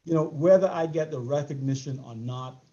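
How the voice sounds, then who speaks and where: A man in his sixties from the Bahamas with a Bahamian accent, telling a story as a panel guest, animated and often repeating his words. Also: on the high side, a little fast. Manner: animated, repeats words, laughs between phrases. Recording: muffled lo-fi audio.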